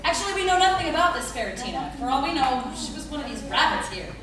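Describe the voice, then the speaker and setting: A woman's voice: high-pitched, big pitch swings, quick pace, crowd noise. A woman playing a practical, wry young adventurer in a live radio play. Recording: echoey hall, distant mic.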